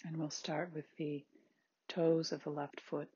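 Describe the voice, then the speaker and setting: Very husky, breathy meditation guide, sex-ambiguous voice. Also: medium pitch, flat delivery, no echo, highs cut off. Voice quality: very husky, breathy, at times near-whispered. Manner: gentle, unhurried, with spaced short phrases. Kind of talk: guided body scan meditation, soothing instruction.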